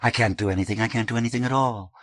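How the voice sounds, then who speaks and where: A man voicing a worried teenage girl who speaks in halting, pleading fragments. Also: medium pitch, fairly even intonation, no echo, studio quality, pitched above the performer's own voice, lightly acted.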